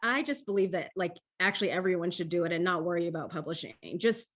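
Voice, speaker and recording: A woman's voice: fairly low pitch, fast. Earnest woman author, lots of restarts. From Vietnamese-American. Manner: earnest, self-interrupting, restarts. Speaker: author guest. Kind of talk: panel discussion.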